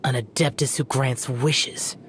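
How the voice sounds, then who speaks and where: A man's voice: medium pitch, steady tone, unhurried, a bit bright, slight background noise, lightly acted. A man playing an ancient yet youthful demon-slaying warrior in a video game, solemn and aloof, speaking sternly in formal, archaic phrasing. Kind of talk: dramatic video game voice acting.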